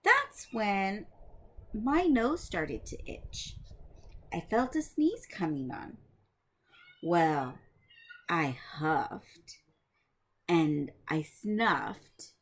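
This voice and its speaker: Storybook wolf, read by a woman: folksy, self-justifying male, conversational, slow pace, dramatic swings in pitch. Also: big pitch swings, exaggerated voice. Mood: explaining. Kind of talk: storybook narration in character.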